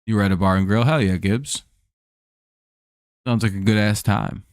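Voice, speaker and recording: A man's voice: on the low side, normal speed, no echo, clean studio sound. A man hosting a live stream, calling baseball plays casually and joking with viewers, his voice a bit worn from talking all day. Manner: laid-back, jokey, chats with viewers between plays. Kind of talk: casual play-by-play and chat.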